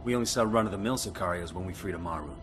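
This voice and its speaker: An actor playing a resolute special forces squad leader in a video game, clipped and businesslike. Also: male voice, low voice, rapid-fire, background noise, natural voice. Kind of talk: video game military dialogue. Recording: game music behind.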